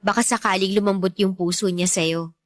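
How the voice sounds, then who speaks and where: A young woman with a Filipino accent acting a caring, supportive fiancée in a radio drama, gentle and coaxing. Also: mid-range voice, fairly even intonation, fairly quick, dry recording, studio quality, close to an everyday voice. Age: young adult.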